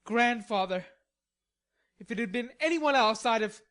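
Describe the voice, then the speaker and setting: A woman voicing a proud, hot-tempered young gentleman who speaks indignantly and defiantly. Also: a little high, moderate pace, voice pushed up, lightly acted.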